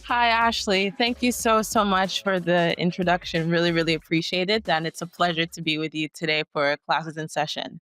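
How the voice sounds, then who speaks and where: A woman who grew up in Egypt, answering as an interview guest in measured, halting phrases. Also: lowish voice, steady tone, a bit bright, no echo, soft background music. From grew up in Cairo, Egypt. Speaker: guest entrepreneur.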